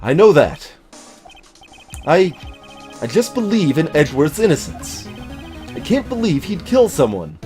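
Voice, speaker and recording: A man's voice: fairly high pitch, big pitch swings, a little slow, long pauses, a little mellow, soft background music. A man voicing a stubborn, loyal young male defense lawyer in a video game, plain and earnest.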